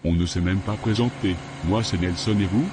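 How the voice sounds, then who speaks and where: A man playing a haughty, boastful rich bachelor in an animated drama, with a pompous manner. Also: low-pitched, normal speed, background noise, natural voice. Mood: suave. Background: music.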